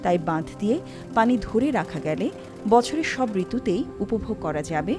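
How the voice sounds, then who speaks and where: A woman from Bangladesh narrates a travel segment with a calm, even read at a middle pitch. Loud music plays under the voice-over. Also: normal speed. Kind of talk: scripted documentary narration.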